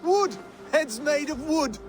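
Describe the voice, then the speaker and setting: A man's voice: very high-pitched, animated, slow pace, faint music behind, heavily acted. An actor playing an earnest, mild-mannered Saxon nobleman and would-be king in a video game, polite, eager and hesitant. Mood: eager, fumbling. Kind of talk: dramatic video game acting.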